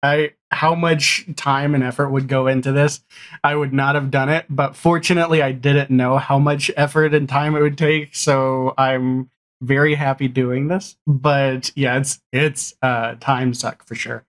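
A man's voice: mid-range voice, flat delivery, unhurried. A man in his forties chatting casually as a podcast guest on a video call, jokey and self-deprecating. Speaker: guest, audio drama creator and podcaster. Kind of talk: casual chat. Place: small room indoors, video call.

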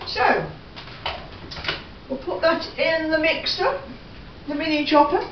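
A woman's voice: sits high, lively intonation, slow. An older woman chatting casually as she walks through each step of making breakfast at home, humming along as she goes. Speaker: home vlogger. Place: home kitchen, indoors. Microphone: far camera on a tripod. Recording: echoey kitchen from far off, dull and noisy.

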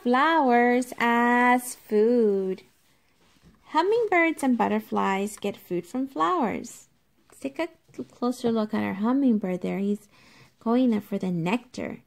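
A woman teacher reading a nonfiction book aloud to young children, explaining it in a deliberate, instructive way and addressing them directly. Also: a little high, expressive, gravelly.

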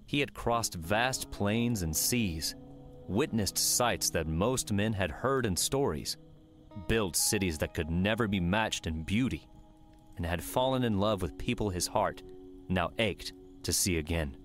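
A man's voice: sits low, lively intonation, a little slow, faint music behind. A man reading scripted fiction as the narrator of an audiobook.

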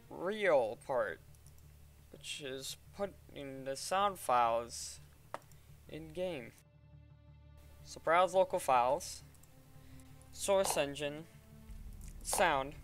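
A man casually talking through a software tutorial step by step in an informal way. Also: a little high. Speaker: tutorial presenter. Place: voice-over at a computer.

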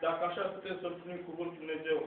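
High-voiced man preaching, very echoey. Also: flat delivery, far from the mic. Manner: earnest, exhorting, repetitive. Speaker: lay preacher. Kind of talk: fervent extemporaneous sermon. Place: small indoor church hall with congregation. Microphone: distant handheld camera in the audience. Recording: tinny, noisy, echoey phone recording from afar.

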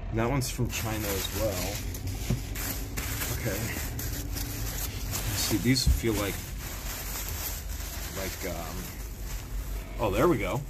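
A playful man in his thirties chats excitedly while digging through boxes, teasing whoever is behind the camera. His voice is picked up by a phone held at arm's length in a big warehouse, with a steady hum of noise behind him. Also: lowish voice, slow, long pauses. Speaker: host. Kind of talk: casual unboxing chat. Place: large indoor storage warehouse.

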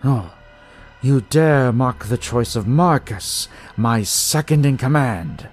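A man's voice: medium pitch, takes it slowly, faint music behind, pitched above the performer's own voice. A man voicing a tyrannical young cult leader with a pompous, commanding manner in an audio story. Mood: outraged.

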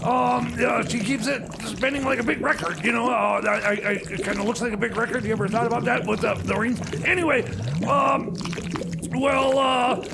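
A man playing a flustered, distressed radio DJ in a comedy radio play, halting and stammering as he dithers. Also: heavy background noise. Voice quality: creaky, high-pitched. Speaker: comedy radio show host. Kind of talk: comedy radio theater banter. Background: music playing behind the voice.

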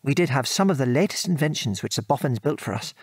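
A man's voice: mid-range voice, animated, fast talker, slightly rough, dry recording, studio quality. An actor playing an affectionate British father and former wartime air force officer, patiently reminiscing in an audio drama. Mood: fond, amused. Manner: chuckling, patient, old-fashioned British turns of phrase.

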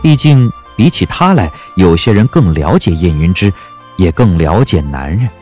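Low-pitched, expressive male storyteller. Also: normal speed, soft background music. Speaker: audiobook narrator. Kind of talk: scripted dramatic storytelling narration.

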